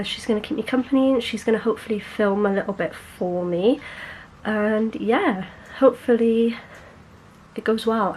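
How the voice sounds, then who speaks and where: A woman's voice: medium pitch, darkish tone, a little hiss or hum, low-quality recording. A young woman, chatting to camera in a casual vlog, bubbly and rambling, correcting herself as she goes. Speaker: beauty vlogger.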